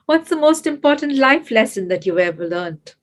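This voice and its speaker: A woman's voice: sits high, big pitch swings, moderate pace. A woman hosting a podcast, asking her guest questions with upbeat, encouraging reactions. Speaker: podcast host. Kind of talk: interviewing a guest.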